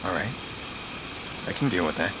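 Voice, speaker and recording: An actor playing a terse, steely vigilante hacker in a video game, controlled and dry. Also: male voice, deep voice, animated, moderate pace, heavy background noise. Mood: cool, firm. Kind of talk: video game cutscene acting. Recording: loud film-score music behind.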